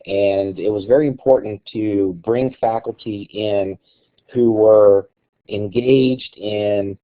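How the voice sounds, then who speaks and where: A man presenting as a guest speaker in an online meeting, a little raspy from a cold, talking haltingly. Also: on the low side, steady tone. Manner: halting, with fillers. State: has a cold, slightly raspy. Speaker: guest presenter, college director. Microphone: web conference audio. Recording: tinny phone-like line.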